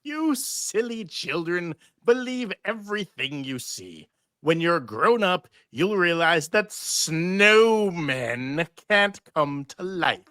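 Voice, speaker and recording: A woman's voice: fairly low pitch, big pitch swings, takes it slowly, a bit bright, no room sound, clean studio sound, heavily acted. A woman playing a pompous, petulant, greedy stage magician who scolds theatrically in a live cartoon table read. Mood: dismissive.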